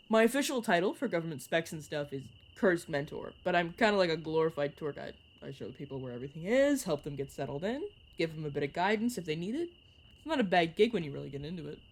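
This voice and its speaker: A woman's voice: on the low side, a little mellow. A woman voice actor playing a playful, sarcastic young vampire mentor who chats and teases in an audio roleplay. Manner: teasing, sarcastic, chatty. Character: playful, teasing vampire mentor, turned at nineteen. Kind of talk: narrative audio roleplay, one-sided conversation.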